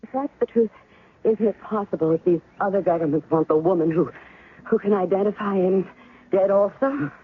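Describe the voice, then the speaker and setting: A woman's voice: mid-range voice, normal speed, breathy edge, natural voice. An actress playing a doubting woman who questions a man claiming to be her ex-husband, in a 1970s radio drama. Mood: doubtful. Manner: doubting, probing. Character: skeptical woman testing a man's identity. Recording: tinny old radio broadcast.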